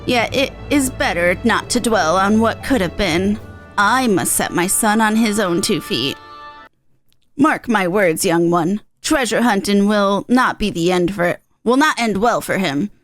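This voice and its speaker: A woman's voice: medium pitch, a little slow, no room sound, faint music behind, lightly acted. A woman voicing a fretful, genteel elderly widow from a game, reading the dialogue with prim, old-fashioned phrasing. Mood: wistful, worried.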